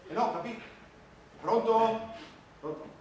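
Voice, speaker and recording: A man's voice: high-pitched, unhurried, a little mellow, dull audio. An actor playing an earnest, fussy male street performer with lofty pretensions, stammering and self-important in theatrical comic style. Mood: flustered, indignant. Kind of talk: theatrical comic stage acting. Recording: distant, echoey stage recording with noise.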